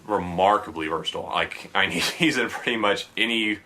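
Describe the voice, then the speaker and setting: Fast, bright-voiced male podcast host. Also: low-pitched. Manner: eager, chatty, quick to laugh. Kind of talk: casual podcast interview.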